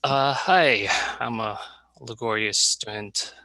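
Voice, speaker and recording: A young man, a college student, giving a halting, hesitant presentation with many pauses over a video call. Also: medium pitch, bright, forceful tone, no room sound.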